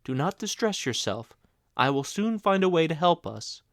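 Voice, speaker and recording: A man's voice: on the high side, moderate pace, dry recording, clean studio sound, voice pushed up. Hansel, a man voicing a brave reassuring boy. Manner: calm, reassuring.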